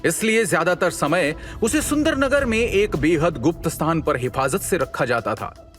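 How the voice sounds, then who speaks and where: A man's voice: fairly high pitch, a bit bright. A man giving scripted dramatic narration as the storyteller of an audio series. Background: background music.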